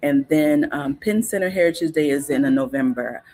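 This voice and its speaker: A woman, a guest in a casual interview, explaining things in an unhurried, informative way with frequent fillers and restarts. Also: low-pitched, a little mellow, a little hiss or hum. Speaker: guest on cultural topics.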